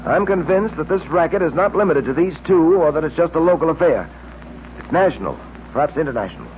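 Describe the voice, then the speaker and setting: An actor playing a resolute, earnest police detective hero in a 1940s radio serial. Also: male voice, fairly high pitch, expressive, quick pace, narrow, tinny audio, close to an everyday voice. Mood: determined. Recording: noisy, band-limited old radio recording.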